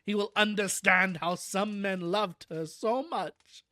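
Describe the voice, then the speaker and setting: A streamer voicing an elderly male professor who speaks slowly and earnestly, each phrase weighted with tender emphasis. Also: medium pitch, pushed and bright, no room sound, voice pushed up. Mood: solemn, moved.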